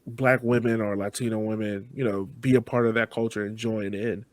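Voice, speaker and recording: A man's voice: on the low side, steady tone, fairly quick, a little mellow, no room sound. A man hosting a podcast, asking interview questions in a casual conversational way. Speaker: podcast host.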